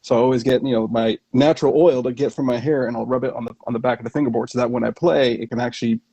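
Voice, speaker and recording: Guest bassist, man, quick eager talker, husky, close dry recording. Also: steady tone, warm tone, no echo. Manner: fast, eager talker with false starts. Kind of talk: casual chat.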